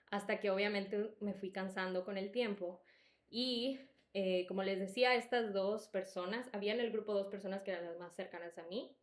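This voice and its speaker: A young woman with a Salvadoran accent softened by Mexican, telling personal stories in a candid, emphatic, conversational way as a podcast host. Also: mid-range voice. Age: young adult. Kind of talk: casual personal storytelling. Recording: echoey room.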